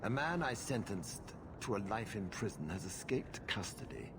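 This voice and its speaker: A man's voice: fairly low pitch, very animated, normal speed, background noise. A man playing a haughty, humorless magistrate with a formal, imperious manner in a video game. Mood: stern, imperious. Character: haughty, stern male magistrate, curt and humorless. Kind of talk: video game dialogue acting.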